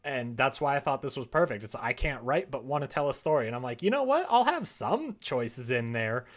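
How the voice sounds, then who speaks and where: A man's voice: medium pitch, sing-song, a little fast. A man chatting casually on a livestream while he works, rambling and thinking aloud in a self-deprecating way. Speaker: livestreamer making a visual novel.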